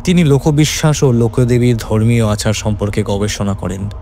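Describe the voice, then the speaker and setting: A man's voice: on the low side, normal speed, creaky, warm tone, a little hiss or hum. A man narrating a scripted horror story in a dramatic, suspenseful manner.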